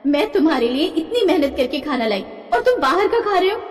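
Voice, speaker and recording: A woman playing an exasperated, hard-working daughter-in-law in an animated family drama, aggrieved and emphatic. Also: high voice, a little fast. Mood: hurt, reproachful. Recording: echoey hall-like reverb.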